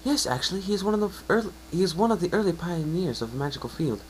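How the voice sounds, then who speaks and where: A man's voice: high voice, racing, slight background noise, pitched above the performer's own voice, natural voice, low-quality recording. A man voicing an earnest, bookish young female unicorn, polite and easily flustered, in a fan fiction reading. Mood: eager, bookish. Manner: eager, polite, explanatory.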